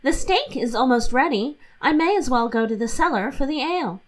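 A chirpy, scatterbrained young housewife from a storybook, as a woman reader puts her on. She speaks high and sing-song, with wide-eyed innocence, and she is a little louder than the narration around her. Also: expressive, brisk. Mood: musing.

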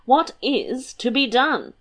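A woman's voice: high-pitched, sing-song, slow pace, a bit bright, voice pushed up, full character voice. A woman doing a fond, patient storybook king.

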